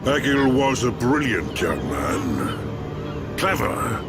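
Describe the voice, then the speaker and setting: A man acting a kindly, ancient machine-race village chief in a video game, with a measured, theatrical storytelling manner. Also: medium pitch, big pitch swings, full character voice. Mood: grave, sorrowful. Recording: game audio with music bed.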